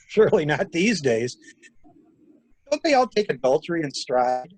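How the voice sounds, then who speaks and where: A man plays a sharp, sardonic male traveler in a murder mystery. His voice is rough-edged and very animated in pitch as he probes and pushes like an amateur detective. The delivery is theatrical and the sound is close and dry. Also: slow, no room sound, heavily acted. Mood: probing, sardonic. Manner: probing, insistent, theatrical. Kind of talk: dramatic reading of a murder mystery.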